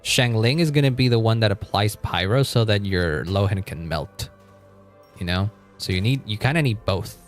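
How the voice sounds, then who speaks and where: A man's voice: lowish voice, animated, moderate pace, soft background music. A man live-streaming a game, chatting casually and thinking aloud in an informal way, with casual swearing. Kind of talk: casual live-stream chat while gaming.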